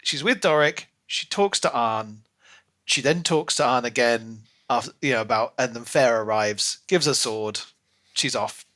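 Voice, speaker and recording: A man's voice: medium pitch, measured pace, fairly bright tone. A man co-hosting a podcast, discussing books casually and animatedly, acting out imagined remarks as he goes. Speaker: podcast co-host. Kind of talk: casual book discussion chat.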